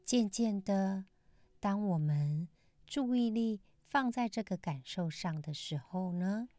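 A woman with a dark, mellow voice hosts a meditation podcast. She speaks very slowly and gently, pausing often between short phrases. The sound is close and dry, suited to calm mindfulness teaching. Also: mid-range voice, no room sound. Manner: measured, gentle phrasing with frequent pauses. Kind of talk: calm scripted mindfulness talk.

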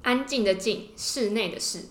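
A woman's voice: medium pitch, moderate pace. A woman with a Taiwanese Mandarin accent co-hosting a podcast in casual, gushing fangirl chat. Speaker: podcast co-host. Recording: roomy indoor echo.

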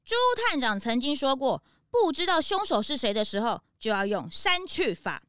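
Brief: bright-eyed kid animal sidekick, high and very animated, earnest, children's detective drama. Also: female voice, moderate pace, clean tone, no room sound, clean studio sound. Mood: earnest, deducing. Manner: inquisitive, excitable, earnest.

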